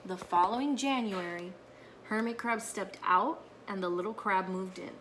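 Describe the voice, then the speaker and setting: A woman reading a children's story aloud in an expressive, teacherly way, with asides to her listeners. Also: mid-range voice, moderate pace, a little hiss or hum.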